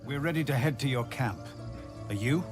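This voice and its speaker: A man playing a gracious, thoughtful leader in a fantasy video game, speaking in a measured, formal and courteous way. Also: mid-range voice, music in the background, close to an everyday voice. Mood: warm, reflective. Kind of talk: video game cutscene acting.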